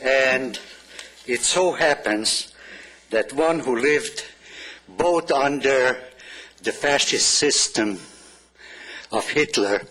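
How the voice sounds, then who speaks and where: An older man from Transylvania with a Hungarian accent gives a public comment at a council meeting, speaking in halting, measured short phrases. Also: mid-range voice, expressive, sharp tone, rough recording.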